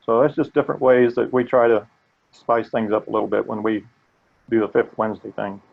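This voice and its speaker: An older man with a gravelly voice, earnestly presenting a live training webinar. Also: lowish voice, brisk, phone-quality sound. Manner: earnest, steady, occasional restarts. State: gravelly voice today.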